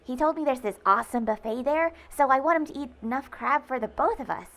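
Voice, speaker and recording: A woman's voice: sits high, fast, noisy background. A woman playing an awkward, sweet, easily flustered teenage girl who stammers, in an audio drama. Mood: friendly.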